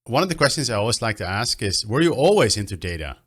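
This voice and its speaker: A man's voice: fairly low pitch, big pitch swings, quick pace, a bit bright. A man hosting an interview show over a video call, talking in an enthusiastic, upbeat way. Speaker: podcast host.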